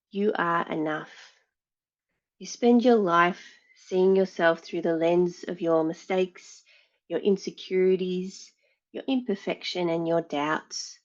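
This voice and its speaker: A woman yoga teacher leading a guided meditation in a measured, soothing way, pausing between phrases. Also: on the low side, darkish tone, lo-fi sound.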